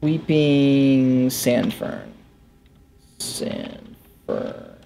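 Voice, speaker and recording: Slow, creaky man gaming. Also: medium pitch, huge pitch swings, mellow, slight background noise. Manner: offhand, musing aloud to himself. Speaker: gaming let's player. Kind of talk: casual gameplay commentary. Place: indoors at a desk. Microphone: headset.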